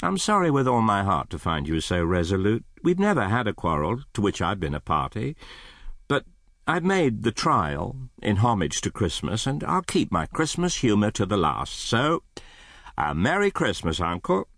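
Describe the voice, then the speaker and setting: A man voicing a cheerful, warm-hearted young gentleman in a storybook reading, earnest and emphatic. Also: fairly low pitch, sing-song, moderate pace, creaky, no room sound, studio quality, exaggerated voice. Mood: hearty appeal.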